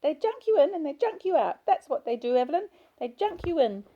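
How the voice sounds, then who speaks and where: A woman's voice: high voice, big pitch swings, fairly quick, warm tone, lo-fi sound, pitched above the performer's own voice. A woman voicing a middle-aged hospital patient who talks in a whiny, childish, pleading way. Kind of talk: audiobook character voice.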